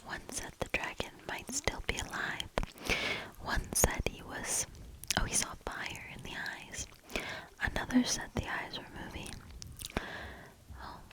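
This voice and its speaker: A woman doing soft ASMR storybook reading and quiet commentary in a breathy, gentle, hushed whisper. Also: poor audio. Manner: slow, gentle, hushed delivery.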